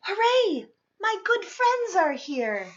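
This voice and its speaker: A woman voicing a gentle old male zookeeper with a cold in a children's storybook, speaking expressively. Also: very high voice, very animated, slow pace, dull audio, voice pushed up, full character voice. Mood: delighted.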